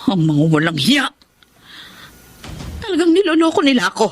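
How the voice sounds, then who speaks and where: An actress with a Filipino accent playing a jealous, hot-tempered middle-aged wife in an emotional, dramatic radio drama style. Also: female voice, high-pitched, wildly swooping pitch, normal speed, full character voice. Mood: indignant.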